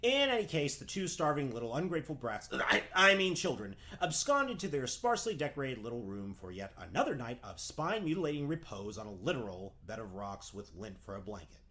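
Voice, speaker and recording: A man's voice: medium pitch, big pitch swings, a little fast, few pauses, bright, forceful tone. A man playing a wry, theatrical storybook narrator, tongue-in-cheek and mock-grand, in a comedic fairy-tale radio play.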